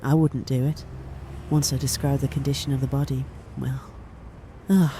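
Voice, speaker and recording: A woman acting as a no-nonsense but compassionate senior detective in an audio drama, steady and plain-spoken. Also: very deep voice, normal speed, slight background noise. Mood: grave, businesslike.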